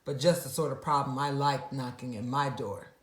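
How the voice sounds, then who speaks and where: A woman voicing a warm, bookish, gentle Bigfoot monster in a genteel storybook style. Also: rumbling low, moderate pace, reverberant. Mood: helpful. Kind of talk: storybook character voice.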